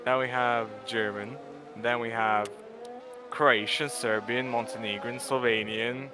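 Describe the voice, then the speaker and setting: A man's voice: mid-range voice, fairly even intonation, a little slow, noisy background. A man whose first language is Bosnian, chatting casually as a co-commentator on a sim racing livestream and laughing easily. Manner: chatty, laughs easily, jumps in over others.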